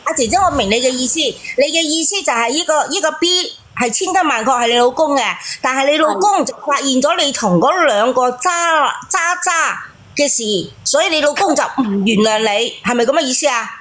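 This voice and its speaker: A woman's voice: sits high, expressive, a little fast, fairly bright tone. A woman co-hosting a call-in show, giving unscripted advice in an insistent, scolding way and repeating her points. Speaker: co-host of a relationship call-in show.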